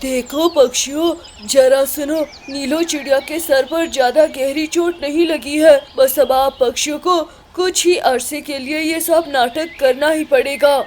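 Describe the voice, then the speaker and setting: A woman's voice: high-pitched, fairly even intonation, a little slow, hardly any pauses, a little mellow, slight background noise. A woman voicing a wise cartoon owl who explains and gives instructions to a group of birds. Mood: explaining. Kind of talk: Hindi cartoon voice acting.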